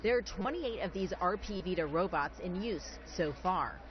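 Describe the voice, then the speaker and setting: A woman's voice: fairly low pitch, lively intonation, normal speed, highs cut off, over music. A woman with an American accent reading a scripted television news report as a reporter.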